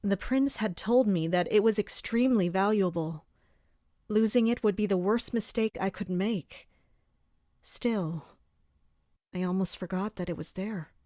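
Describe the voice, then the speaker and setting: A woman playing a dutiful, serious captain of an elite armored guard in an audio drama, speaking in a measured way. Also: medium pitch, warm tone, no echo, studio quality, lightly acted. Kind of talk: audio drama acting with first-person narration.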